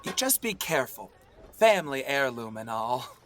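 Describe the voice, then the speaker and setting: A man's voice: medium pitch, sing-song, moderate pace, background noise, lightly acted. A man playing a shifty, boastful frontier swindler in an audio drama, hesitating as he improvises his lies. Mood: sly bluffing.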